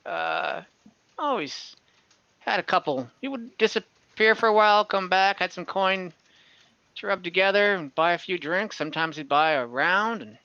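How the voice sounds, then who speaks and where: A man voicing a gruff, laconic old male bartender who trades gossip in clipped, hesitant bits. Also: high-pitched, sing-song, normal speed, sharp tone, no room sound, pitched above the performer's own voice, heavily acted. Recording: dull dry lo-fi audio.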